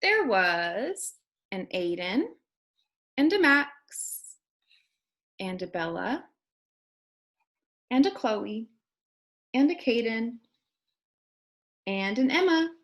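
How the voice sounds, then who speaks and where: A woman, a kindergarten teacher, reading a children's storybook aloud expressively and deliberately to young listeners. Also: on the high side, very animated, fairly bright tone.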